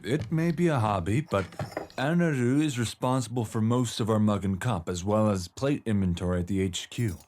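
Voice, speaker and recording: A man playing a courteous, formal leader of a secret organization in an audio drama, speaking in a measured, polite way. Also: fairly low pitch, darkish tone, a little hiss or hum, lightly acted.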